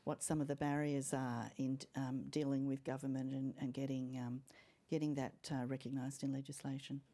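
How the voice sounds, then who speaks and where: A woman moderating a panel discussion, introducing guests and asking questions in a measured, slightly hesitant, courteous way. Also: extremely low pitch, flat delivery, warm tone.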